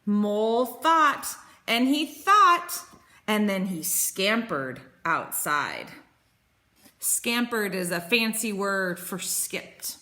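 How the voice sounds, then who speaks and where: A woman in her thirties reading a picture book aloud as a teacher, expressive and sing-song, explaining simply for children. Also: mid-range voice, extremely slow. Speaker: teacher reading to young pupils.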